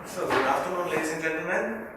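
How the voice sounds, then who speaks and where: A man's voice: on the high side, expressive. South Tyrolean man presenting in an echoey hall. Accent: South Tyrolean German. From South Tyrol, northern Italy. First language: German. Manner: halting, searching for English words, checks with listeners. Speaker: guest speaker, business consultant. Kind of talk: seminar presentation to an audience. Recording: echoey hall, distant mic, faint noise.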